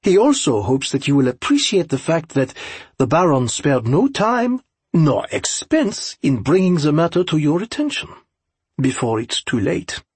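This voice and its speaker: A man's voice: fairly high pitch, very animated, unhurried, a little mellow, slightly rough, no room sound, pitched above the performer's own voice, full character voice. A man voicing an earnest young European aide who interprets for his master in a formal, polite way, in an audiobook story. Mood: calm, urgent.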